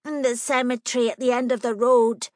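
A stubborn nine-year-old girl, nervous underneath but bossy and matter-of-fact, as a woman reads her aloud. The voice sits high and comes across dry and close. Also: fairly even intonation, brisk, no echo, lightly acted. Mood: insistent.

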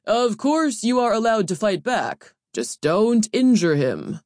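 A woman acts out a pompous male training overseer from a fantasy audiobook. He projects loudly and declaims with grandiose ceremony, pitched up as if addressing a whole arena. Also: lively intonation, unhurried, no room sound, studio quality, lightly acted. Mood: hassled. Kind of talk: audiobook character voice.

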